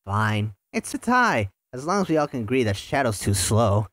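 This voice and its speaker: A man's voice: mid-range voice, very animated, normal speed, a bit bright, no room sound, studio quality. Man voicing a cocky, sarcastic hedgehog. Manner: sarcastic, playful.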